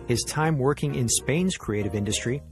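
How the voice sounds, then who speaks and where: A man in the middle of his range hosting a podcast. He speaks in a measured, clear announcer manner, with a faint music bed beneath him. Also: medium pitch, lively intonation. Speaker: podcast host. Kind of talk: scripted intro then interview questions.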